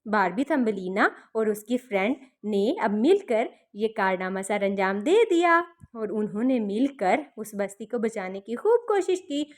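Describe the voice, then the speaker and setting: A woman's voice: medium pitch, big pitch swings, measured pace. A woman narrating a fairy tale for children, explaining things simply and repeating them as to small children. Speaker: children's storyteller.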